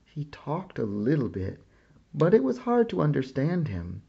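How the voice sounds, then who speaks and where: Slow, husky man reading a storybook. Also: fairly high pitch, highs cut off. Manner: slow, expressive read-aloud. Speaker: children's book reader. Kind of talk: reading a picture book aloud.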